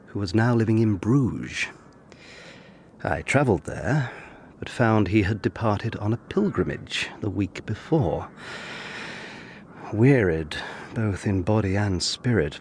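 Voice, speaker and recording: An actor playing an earnest young gentleman lawyer of the 1720s, formal and measured, narrating and acting in a period audio drama. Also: sits low, slight background noise, lightly acted.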